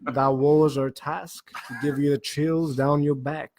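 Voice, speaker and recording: A man's voice: on the high side, flat delivery, a little slow, darkish tone. Giggly playful man hosting. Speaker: host. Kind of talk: casual livestream chat.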